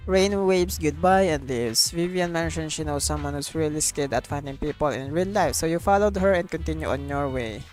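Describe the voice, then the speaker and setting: A woman's voice: low voice, brisk, few pauses, a little mellow. A woman livestreaming a game in a casual, slangy way, commenting and reading dialogue aloud with some stumbles. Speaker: streamer. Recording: game music behind the voice.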